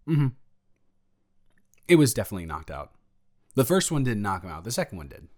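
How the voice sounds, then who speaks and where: A young man playing a protective, secretly jealous male roommate in an improvised intimate audio roleplay, talking in a casual, halting, hesitant way. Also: fairly low pitch, lightly acted. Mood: sheepish, guarded, then candid, scornful.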